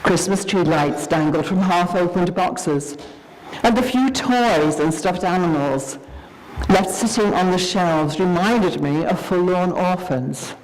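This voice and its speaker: A woman giving a dramatic, expressive reading of a scripted story, with deliberate pauses. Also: lowish voice, a little hiss or hum, rough recording.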